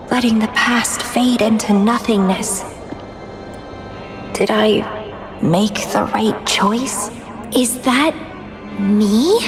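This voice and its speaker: An actress plays a playful, enigmatic young warrior woman from a video game. Her voice sits fairly high and she savours her words in a teasing, theatrical way, hungry for mischief and excitement. Clear background music plays under the voice. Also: lightly acted. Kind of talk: video game character acting.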